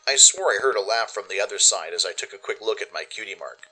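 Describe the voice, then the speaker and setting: A man giving expressive, dramatic first-person narration for a radio play. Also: on the low side, quick pace, rough recording. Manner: expressive storytelling. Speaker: narrator and producer.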